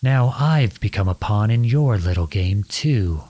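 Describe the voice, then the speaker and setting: A man performs a young outlawed prince in an audio roleplay drama. The voice sits slightly low and is rough and breath-worn at the edges. He speaks slowly and deliberately, with earnest, wounded defiance. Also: lively intonation, dark tone. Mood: bitter, defiant. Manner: earnest, deliberate, pleading and accusing. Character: defiant outlawed young prince confronting his cruel king father.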